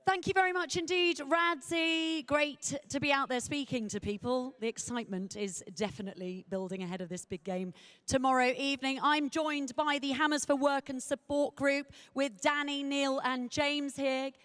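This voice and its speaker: A woman's voice: high voice, normal speed, a bit bright, dry recording. A woman presenting a live broadcast from a fan zone, welcoming her guests with light teasing. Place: outdoor fan zone in a city square.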